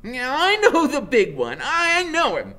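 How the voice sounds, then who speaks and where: A man's voice: very high voice, huge pitch swings, measured pace, sharp tone, pitched above the performer's own voice, full character voice. A man voicing a scrawny, taunting woman smoker who drawls and repeats herself in a storybook. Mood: taunting, nagging. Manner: drawling out of the side of mouth, repetitive.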